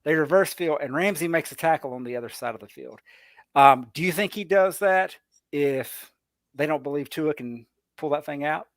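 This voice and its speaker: A man's voice: fairly high pitch, normal speed, dry recording. A man giving his take on a casual sports podcast, rambling and restarting his words as he thinks. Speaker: podcast panelist.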